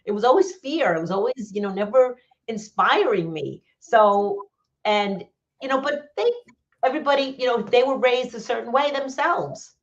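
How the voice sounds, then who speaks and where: A woman's voice: on the high side, expressive, moderate pace. A woman with a New York accent, a podcast guest talking casually and candidly about her own life, often repeating herself for emphasis. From New York area, United States. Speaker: podcast guest, business coach. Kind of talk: casual personal storytelling. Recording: dull, muffled lo-fi audio.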